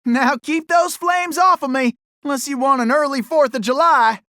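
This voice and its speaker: A man's voice: extremely high pitch, moderate pace, bright, forceful tone, no room sound, studio quality, exaggerated voice. A man voicing a folksy, wisecracking cowboy gunslinger animal in a video game, with a Southern Old West drawl and colorful frontier slang. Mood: jittery, joking. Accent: American Old West Southern drawl. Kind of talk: video game character acting.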